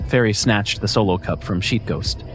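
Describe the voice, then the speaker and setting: Low-voiced male story narrator. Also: music in the background. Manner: measured, wry storytelling. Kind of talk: first-person scripted horror narration.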